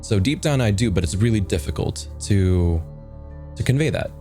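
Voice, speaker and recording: A man's voice: on the low side, lively intonation, moderate pace, mellow, soft background music. A man improvising reflective character talk as a player in a tabletop game, hesitant and earnest. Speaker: tabletop role-playing player.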